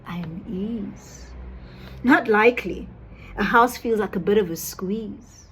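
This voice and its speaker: A woman reading a rhyming children's picture book aloud in an expressive, performed manner. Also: mid-range voice. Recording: faint background noise.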